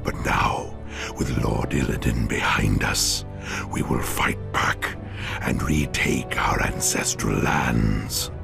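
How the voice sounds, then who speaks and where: Croaking, very deep old sage. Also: male voice, music in the background, heavily acted. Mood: solemn. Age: older. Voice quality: extremely gravelly, rasping. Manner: solemn, measured. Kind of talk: dramatic fantasy game cutscene acting.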